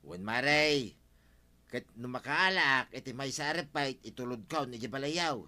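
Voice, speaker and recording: A blunt, grumbling village man who sells firewood. The voice is mid-pitched, bright and forceful, in the style of Filipino radio comedy drama. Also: a little slow.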